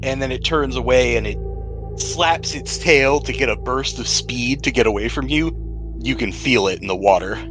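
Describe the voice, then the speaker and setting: Man, game master, playful scene narration, mid pitch, background music. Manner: playful, describes scenes slowly. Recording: music bed under the voice.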